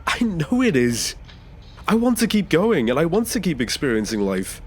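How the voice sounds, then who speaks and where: A man's voice: on the high side, wildly swooping pitch, moderate pace, darkish tone, breathy edge, heavily acted. A man playing a nervous, earnest passenger in an audio drama, speaking hesitantly with small stammers. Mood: earnest, determined. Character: nervous ageing male passenger seeking a new body. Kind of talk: naturalistic audio drama acting.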